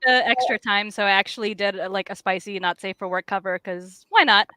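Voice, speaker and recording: A woman comic artist chatting casually about her work, with an animated, self-deprecating, slangy way of talking. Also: medium pitch, fairly quick, no room sound. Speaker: comic artist and writer, guest.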